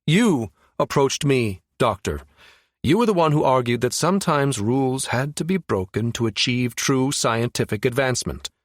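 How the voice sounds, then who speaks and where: Villain role, male, read by a male narrator: calculating, smug, quietly mocking, measured menace, audiobook style. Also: medium pitch, expressive, no echo, studio quality, lightly acted. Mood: accusing.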